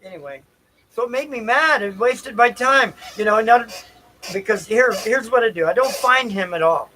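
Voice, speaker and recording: A man telling a casual, rambling story on a livestream in a halting, repetitive, folksy way. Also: extremely high pitch, expressive, bright, forceful tone, a little hiss or hum, rough recording. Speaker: livestream host. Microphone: stream microphone.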